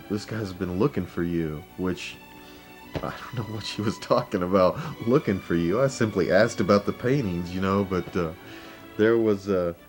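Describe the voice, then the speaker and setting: A man being interviewed, casually telling an old memory in an unscripted way. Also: low voice. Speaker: documentary interviewee. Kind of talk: casual reminiscence.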